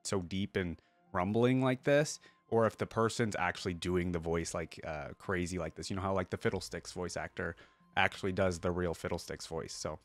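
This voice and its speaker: A man's voice: low-pitched, moderate pace, soft background music. A man giving casual, informal reaction commentary as a video host, thinking aloud as he goes.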